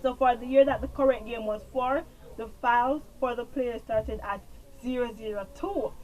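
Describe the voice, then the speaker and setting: Jamaican woman going over a show, kinda high voice, rambles and repeats stuff. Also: moderate pace, dull audio, a little hiss or hum. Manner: rambling, repeats herself, trails off with "blah, blah". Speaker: TV show reviewer. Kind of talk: casual show recap.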